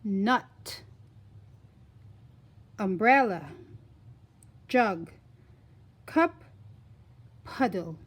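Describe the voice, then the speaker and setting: A woman reading a scripted phonics lesson for young children, deliberately enunciating single words in an instructional way. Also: mid-range voice, expressive, husky. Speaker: children's phonics teacher and reader.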